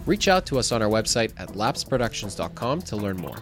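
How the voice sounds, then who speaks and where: A man's voice: on the low side, very animated, fairly quick, over music. A man reading a scripted show intro and sponsor ad as an announcer. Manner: announcer delivery.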